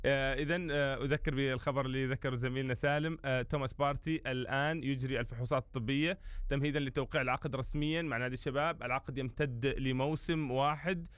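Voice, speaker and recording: A man's voice: mid-range voice, fairly even intonation, fast, fairly bright tone, clear, resonant tone, no room sound. A man co-hosting a live sports radio show, presenting football talk and news.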